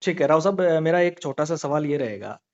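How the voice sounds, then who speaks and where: A male journalist with a slightly high-pitched voice conducts a political interview on a dull call recording. He presses his questions persistently, restating them in new words. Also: expressive, brisk, a little mellow.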